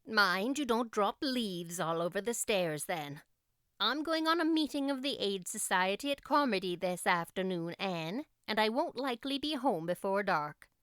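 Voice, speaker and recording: Audiobook role: woman as no-nonsense older female guardian, clear, dry, scolding delivery. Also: medium pitch, lively intonation, normal speed, fairly bright tone, dry recording, close to an everyday voice. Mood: disapproving, brisk. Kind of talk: audiobook character voice.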